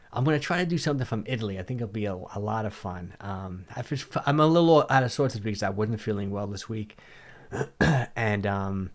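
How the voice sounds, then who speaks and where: Hoarse middle-aged man with a cold. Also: lowish voice. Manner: rambling, repeats words, restarts sentences. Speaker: livestream host answering viewer questions. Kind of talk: casual livestream Q&A.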